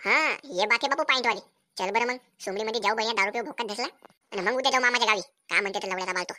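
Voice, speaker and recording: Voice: medium pitch, rapid-fire, pushed and bright, a little hiss or hum, natural voice. A performer voicing a crude, frustrated village bachelor in a comic cartoon, grumbling and swearing in rural Vidarbha Marathi slang. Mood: scheming, boastful. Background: music.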